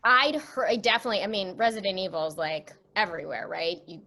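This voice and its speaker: Casting: female voice actress as interview guest, chatty and expressive, average pitch. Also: fast talker. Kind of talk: casual interview chat.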